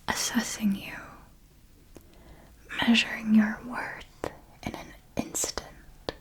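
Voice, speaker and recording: A woman plays an elegant female vampire mentor in an ASMR roleplay. She whispers throughout in a breathy hush, speaking very slowly and deliberately. Her manner is refined, patient and knowing, like a teacher guiding a pupil. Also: on the high side, poor audio. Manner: slow, deliberate, refined, instructive.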